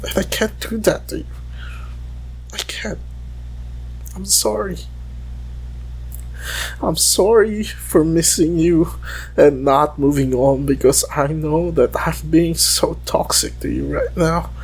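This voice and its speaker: A man giving an intimate, emotional monologue in a halting, confessional way. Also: fairly high pitch, gravelly, a little hiss or hum, rough recording.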